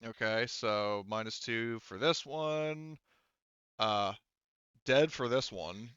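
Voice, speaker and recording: A man's voice: medium pitch, takes it slowly, a bit bright, smooth, no echo, lo-fi sound. A man acting as game master, narrating the action and working through rules in an informal, exclamatory way. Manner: informal, exclamatory, swears freely. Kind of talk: casual tabletop game narration and rules adjudication.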